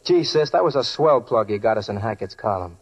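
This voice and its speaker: An actor playing an eager, naive, boyish young singer in 1940s radio style. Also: male voice, fairly low pitch, animated, brisk, slightly rough. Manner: boyish, earnest. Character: eager, naive young kid-brother singer, easily flustered. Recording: muffled 1940s radio recording.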